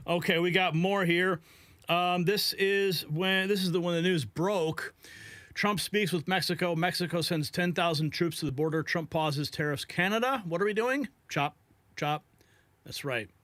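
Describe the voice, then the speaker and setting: A man's voice: fairly high pitch, measured pace, a bit bright, no echo. A man hosting political news, giving opinionated live commentary.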